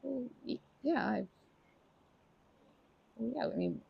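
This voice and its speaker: A woman playing a timid, kind park regular in an ASMR roleplay, speaking softly in halting, stammering, self-correcting sentences. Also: fairly high pitch, animated, gravelly, breathy edge, dull audio, exaggerated voice. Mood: shy, concerned. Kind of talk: ASMR roleplay, improvised-sounding intimate monologue.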